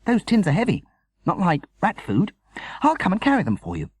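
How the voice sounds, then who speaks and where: A man's voice: high-pitched, wildly swooping pitch, moderate pace, breathy edge, dry recording, studio quality, voice pushed up, full character voice. A man voicing a persistent, cheeky young storybook boy who talks in an eager, pushy, frank way. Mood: insistent.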